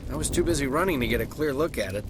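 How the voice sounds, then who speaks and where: An actor playing a shaken but defensive colony farmer in a video game, earnest and plain-spoken. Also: male voice, medium pitch, lively intonation, racing, noisy background, close to an everyday voice. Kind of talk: dramatic video game acting.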